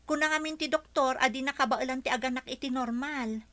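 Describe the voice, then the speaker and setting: A woman's voice: sits high, fairly quick, bright, forceful tone. A woman playing an earnest, concerned young working woman from a village in a radio drama.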